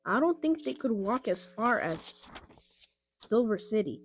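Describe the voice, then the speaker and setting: A woman's voice: a little high, very animated, measured pace, faint music behind. A woman voicing a grown man, a baker in a children's story, matter-of-fact and grumbling. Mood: puzzled.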